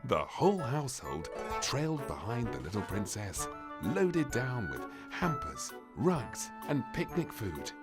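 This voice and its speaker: A man reading a scripted children's story as narrator, with an expressive, dramatic delivery. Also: medium pitch, a little slow, over loud music. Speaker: storybook reader.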